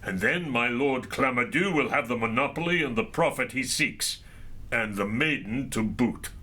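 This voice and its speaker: An actor playing a haughty, menacing besieging knight in a medieval audio drama, speaking in formal, archaic phrases. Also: male voice, mid-range voice, lively intonation, normal speed, pushed and bright. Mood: demanding. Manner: archaic, formal, declaiming diction.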